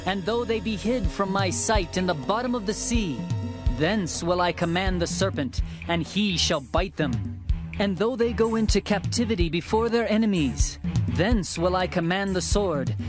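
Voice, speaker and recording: A man narrating dramatized scripture in a solemn, declamatory way with measured phrasing. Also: high-pitched. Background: music.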